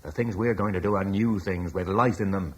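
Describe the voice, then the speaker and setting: A blunt, husky British war chief. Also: male voice, deep voice, brisk. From Cornwall. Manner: forceful, blunt, scornful. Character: blunt British war leader, scornful of Rome. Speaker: military commander. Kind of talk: classic radio drama acting.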